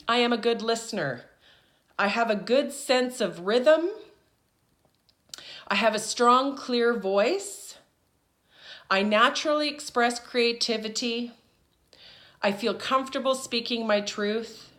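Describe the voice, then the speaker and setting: A woman's voice: fairly high pitch, a bit bright. A woman teaching her viewers in a casual, chatty way, often checking in with "okay?" and "right?" as she talks. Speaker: spiritual teacher and channeler. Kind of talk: casual instructional talk to viewers.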